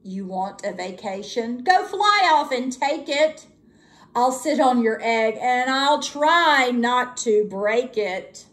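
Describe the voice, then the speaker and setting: A woman voicing a huge, gentle male storybook elephant, earnest and deliberate in manner. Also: on the high side, lively intonation. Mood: earnest, reluctant.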